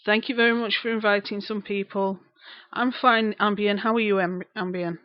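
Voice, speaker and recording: A woman's voice: medium pitch, fairly even intonation, normal speed, no echo, lo-fi sound. A woman in her thirties with a broad Lancashire accent, chatting casually on a live stream, greeting viewers and repeating herself as she rambles. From England. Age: 30s.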